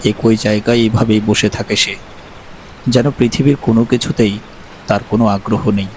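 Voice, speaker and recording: A man narrating an animated horror story with a measured, storytelling delivery. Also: fairly low pitch, slight background noise, poor audio.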